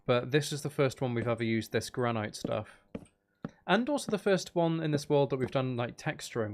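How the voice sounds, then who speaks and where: A man giving casual live gameplay commentary, rambling and thinking aloud as he goes. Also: mid-range voice. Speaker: gaming streamer. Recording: faint background noise and clicking.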